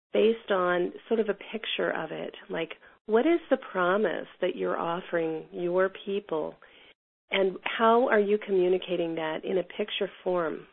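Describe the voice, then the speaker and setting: A woman with a cold, sounding a bit hoarse, teaching an online class in a rambling way with lots of fillers and restarts. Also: fairly low pitch. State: has a cold, a little hoarse. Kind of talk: teaching a class over a call. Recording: tinny phone line.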